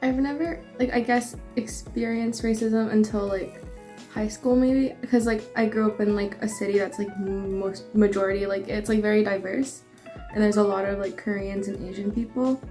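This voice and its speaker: A woman's voice: medium pitch, fairly even intonation, normal speed, mellow, faint music behind. A young adult woman in a casual chat, speaking hesitantly with many "like" fillers.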